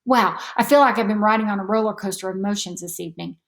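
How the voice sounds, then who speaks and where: A woman voicing an eager, sweet third-grade girl in a storybook, earnest and excitable. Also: medium pitch, quick pace, natural voice. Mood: happy, tired.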